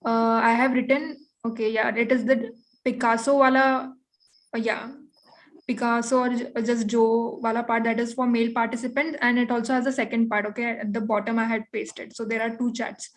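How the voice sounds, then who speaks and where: Female meeting host, Indian accent, fairly high voice, chatty and organising, frequent little confirmations. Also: little pitch movement, normal speed, poor audio. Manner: chatty, repeats confirmations like okay and right. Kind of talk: casual organising chat. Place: online video call.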